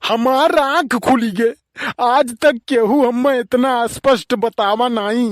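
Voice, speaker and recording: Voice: medium pitch, takes it slowly, no room sound, close to an everyday voice. Someone doing a gullible nosy village bachelor, awadhi cartoon, takes his time talking, very dry recording. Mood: eager, admiring. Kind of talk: Awadhi comedy cartoon dialogue.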